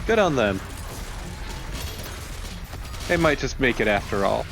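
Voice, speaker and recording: A man's voice: medium pitch, big pitch swings, takes it slowly, vocal fry. Man casually joking over game music. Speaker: let's play co-commentator.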